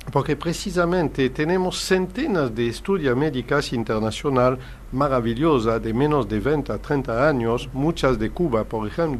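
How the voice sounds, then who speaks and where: A man's voice: medium pitch, slight background noise. An older man who speaks Spanish as a second language, a hypnotherapist explaining his work in an earnest, lecturing way during an interview. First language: not Spanish. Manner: earnest, explanatory, non-native Spanish phrasing. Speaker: hypnotherapist guest.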